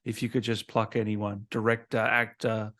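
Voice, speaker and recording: A man's voice: lowish voice, flat delivery, a bit bright. Chummy man hosting a casual interview. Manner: informal, chummy, quick to agree. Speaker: host, interviewer.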